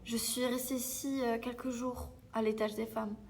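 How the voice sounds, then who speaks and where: A female performer playing a gentle, reassuring sixteen-year-old survivor in a French audio drama. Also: a little high, level tone, normal speed, slightly rough. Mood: hesitant, gentle.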